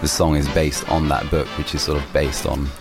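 A man's voice: extremely low pitch, flat delivery, fairly quick, over music. A young man, a musician, answering interview questions casually, rambling with many restarts and fillers. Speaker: musician guest.

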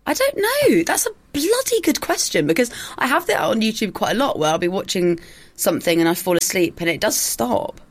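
A young woman from Croydon chats as a guest, giggly and casually sweary. Her pitch swoops up and down a lot as she talks, at a middling height. She sounds loose and playful throughout. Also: big pitch swings, brisk. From Croydon, England. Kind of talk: casual comedic chat.

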